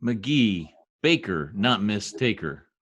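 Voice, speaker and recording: A man's voice: on the low side, very animated, slow pace, a bit bright, slightly rough, full character voice. A man playing a brash, folksy census taker in old-time radio comedy style, slangy, blustering and easily exasperated.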